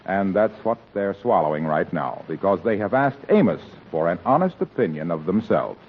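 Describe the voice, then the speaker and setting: Man, vintage radio announcer, animated pitch delivery, tinny hissy broadcast. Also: moderate pace. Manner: cheerful, sales-pitch delivery. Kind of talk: 1940s radio ad and show announcing. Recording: tinny old radio broadcast with faint hiss.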